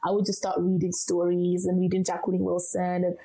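A woman's voice: fairly low pitch, completely flat delivery, fairly quick, warm tone. A young woman from Zimbabwe, answering interview questions with earnest, encouraging advice. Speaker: guest speaker.

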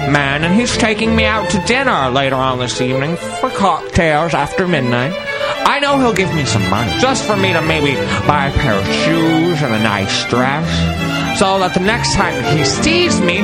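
A man voicing a young, glamorous drag ball femme, coy and genteel, in a dramatic monologue reading. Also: a little high. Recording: loud music behind the voice.

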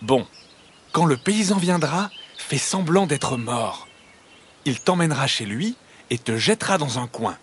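Man voicing a clever, high-pitched exasperated hedgehog. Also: animated, measured pace, slight background noise. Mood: resigned advice. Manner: emphatic, scolding. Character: clever male hedgehog, exasperated but kind-hearted friend. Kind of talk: children's audio storybook character.

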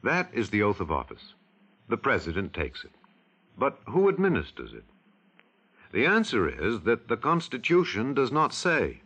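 A man's voice: fairly low pitch, huge pitch swings, normal speed, a bit bright, breathy edge. An American man delivering scripted vintage radio narration as an announcer, solemn, emphatic and rhetorical. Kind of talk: scripted mid-century radio civics narration. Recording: dull vintage radio recording.

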